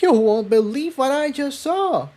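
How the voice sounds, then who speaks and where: A man voicing an excitable, cheerful young female pegasus pony, eager in manner. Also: very high voice, a little slow, pitched above the performer's own voice. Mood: excited.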